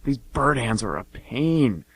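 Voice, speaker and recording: A man's voice: mid-range voice, big pitch swings, slow pace, breathy edge, full character voice. A man playing a shy, awkward, stammering young man in an amateur radio comedy. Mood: awkward, pleased. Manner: awkward, stammering, eager to please. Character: shy, flustered young man in bird costume selling candy.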